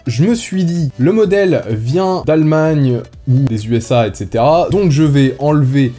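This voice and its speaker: A man's voice: on the high side, animated, moderate pace, warm tone. A man doing a casual gear review, explaining things step by step in an informal way. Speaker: motorcycle gear reviewer and vlogger. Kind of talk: casual product review and tutorial. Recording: music behind the voice. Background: background music.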